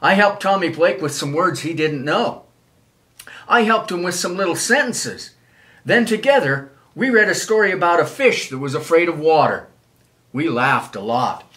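Older man, slow animated storyteller. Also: high-pitched. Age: older, 70s. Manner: expressive, measured storyteller phrasing. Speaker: children's author reading own book. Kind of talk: storybook read-aloud for children. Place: small wood-panelled room, indoors.

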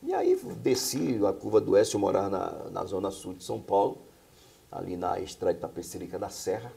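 A man's voice: low voice, big pitch swings, a little fast, creaky, dark tone. A middle-aged man from Bahia, a pastor and singer, telling his life story as a guest in a small studio. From Bahia, Brazil. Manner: earnest storytelling with frequent tag questions.

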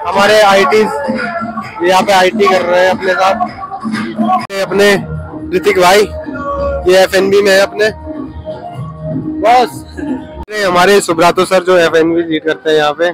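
A man's voice: high-pitched, level tone, a little slow, pauses often, poor audio. A man giving a casual, informal vlog walk-through as a chef, introducing and pointing things out. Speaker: chef vlogging his hotel restaurant. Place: large indoor hotel banquet restaurant. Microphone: handheld phone behind the camera. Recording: music behind, handheld phone in busy venue. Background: loud music.

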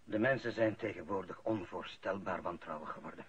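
A man's voice: low voice, a little fast, fairly bright tone, a little hiss or hum. An actor playing an older, pragmatic father giving folksy advice in a radio play. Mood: calm, reasoning. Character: older father, pragmatic seasoned retired office chief. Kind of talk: Dutch-language radio drama acting. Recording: muffled old radio recording.

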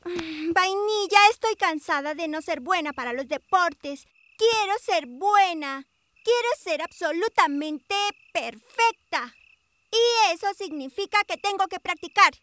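A woman's voice: very high voice, animated, a little slow, fairly bright tone, heavily acted. A woman voicing a proud, whiny, dramatic little girl in a cartoon dub. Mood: determined. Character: proud, easily frustrated little girl making excuses. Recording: music behind the voice.

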